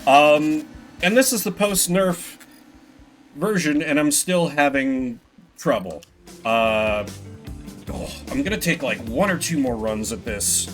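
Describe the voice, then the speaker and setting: A man doing casual live gameplay commentary, rambling in a self-deprecating way with casual swearing. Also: medium pitch. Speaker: video game streamer.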